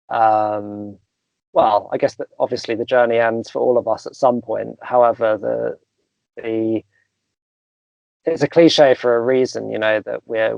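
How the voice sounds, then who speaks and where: A young man, a coach guest in an interview, talking thoughtfully with many pauses, fillers and restarts. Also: medium pitch, vocal fry, darkish tone, no echo, highs cut off. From England. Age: young adult. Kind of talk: casual interview chat.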